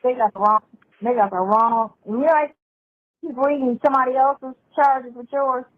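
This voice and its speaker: High pitched lady on a phone call, tinny and a bit noisy. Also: steady tone, moderate pace. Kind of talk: phone conversation.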